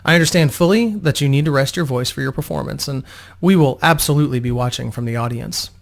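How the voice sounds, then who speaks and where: A man's voice: medium pitch, quick pace, vocal fry, close to an everyday voice. A man voicing a female bodyguard and adventurer who is courteous, practical and protective, in a storybook reading. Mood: friendly, reassuring.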